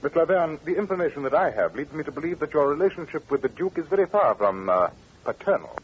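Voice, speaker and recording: Sharp english detective, fast talker, lively formal delivery, old radio drama. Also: male voice, on the high side. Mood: frank, pressing. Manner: precise, incisive, formal. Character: shrewd, brisk, blunt gentleman detective. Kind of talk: 1940s radio acting. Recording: dull, noisy old radio recording.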